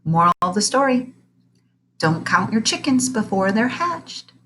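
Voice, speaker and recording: A woman reading a children's story aloud in an expressive, dramatic way, stretching key words. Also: mid-range voice, unhurried, slight background noise. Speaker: read-aloud narrator.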